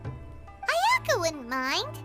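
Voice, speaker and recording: Tiny excitable game fairy, extremely high squeaky childlike voice, very animated, music behind. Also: female voice, extremely slow, heavily acted. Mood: chirpy, matter-of-fact. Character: small cute excitable childlike fairy companion, speaks in third person. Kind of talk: English video game voice acting.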